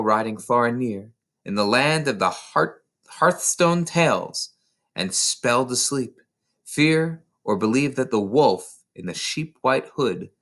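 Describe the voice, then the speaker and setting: A man voicing a gentle, reserved woman schoolteacher with a slow, deliberate, poetic way of speaking. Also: mid-range voice. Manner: slow, deliberate verse-like delivery.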